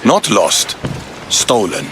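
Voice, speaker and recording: A man playing a stern, gruff Spartan commander in a video game, terse and commanding. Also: fairly low pitch, very animated, slow pace, crowd noise, heavily acted. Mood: stern, irritated. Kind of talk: video game cutscene acting. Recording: music behind the dialogue.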